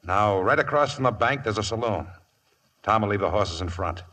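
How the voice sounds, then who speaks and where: A man's voice: on the low side, lively intonation, moderate pace, a bit bright. An American man acting a curt, threatening outlaw gang leader in a 1950s radio western. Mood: businesslike, commanding. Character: gruff, domineering male outlaw gang leader planning a robbery.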